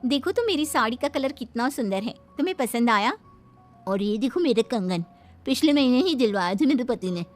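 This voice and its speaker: A woman's voice: on the high side, lively intonation, normal speed, pitched above the performer's own voice, lightly acted. A woman voicing vain, gossipy married wedding guests in a storybook tale, showy and catty. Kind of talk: storybook character dialogue. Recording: background music under the voice.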